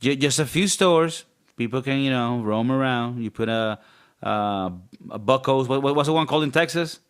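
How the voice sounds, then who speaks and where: A man co-hosting a casual podcast, with a raspy voice at an ordinary male pitch. He rambles along in a joking, loose style and swears freely. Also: normal speed. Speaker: podcast co-host.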